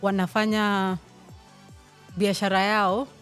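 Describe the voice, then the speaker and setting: A young woman from Kenya, a sales team leader, explaining things casually in an interview, relaxed and chatty with frequent fillers. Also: medium pitch, slow, soft background music. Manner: relaxed, explanatory, laughs easily, many fillers.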